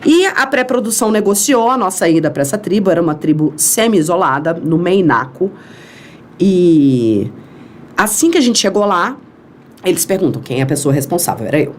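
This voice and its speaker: A woman's voice: fairly low pitch, moderate pace, slight background noise. A woman from Brazil telling a long story as a podcast guest, acting out the voices in it. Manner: animated, acts out quoted dialogue. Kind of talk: storytelling in an interview.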